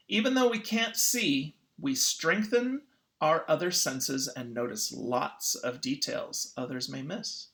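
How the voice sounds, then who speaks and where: Someone reading a children's picture book aloud in an expressive, teacherly way. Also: mid-range voice. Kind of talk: children's read-aloud narration.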